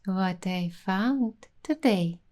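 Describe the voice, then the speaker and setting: Very slow, soft-spoken woman. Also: mid-range voice, lively intonation. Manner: soft-spoken, slipping into whispers. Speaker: ASMR host. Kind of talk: ASMR roleplay.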